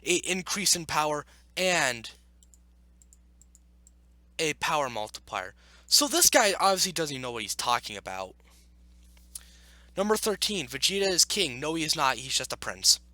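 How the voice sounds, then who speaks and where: A man with a high-pitched, bright voice hosts a casual reaction video. His delivery swings up and down a lot as he argues his points emphatically and circles back to repeat them. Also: very animated, measured pace, long pauses, no room sound. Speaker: video host reacting to an article. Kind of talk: opinionated casual commentary with read-aloud passages.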